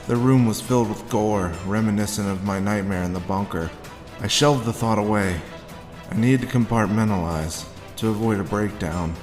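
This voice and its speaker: A man playing a haunted, sardonic drifter in a dark horror audio drama, moving between first-person narration and dialogue. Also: fairly low pitch, natural voice. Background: background music.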